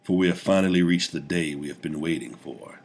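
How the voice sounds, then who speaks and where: A man voicing a mighty conqueror king in a fantasy story, grave, measured and commanding, with a cold reserve. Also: sits low.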